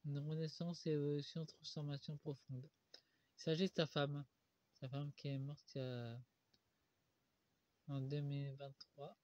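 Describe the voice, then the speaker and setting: Casting: halting tarot reader with a stuffy cold, man, somewhat high and mellow voice. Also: level tone. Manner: halting, with many pauses and "euh" fillers. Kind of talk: solo tarot card reading. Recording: dull lo-fi recording.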